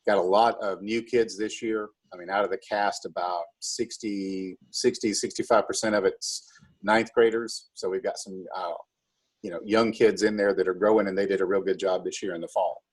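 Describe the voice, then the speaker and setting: Low, husky man with a calm voice. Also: normal speed, rough recording. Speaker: high school math teacher and drama director. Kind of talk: casual interview answers.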